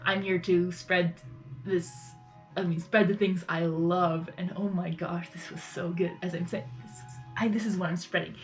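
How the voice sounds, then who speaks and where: A woman's voice: lowish voice, fairly even intonation, moderate pace, faint music behind. A woman giving casual live reaction commentary as a video host, effusive and rambling, often repeating her phrases.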